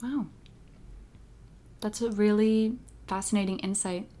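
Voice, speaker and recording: A woman's voice: mid-range voice, steady tone, slow, dark tone. A woman interviewer who asks polite, carefully worded questions.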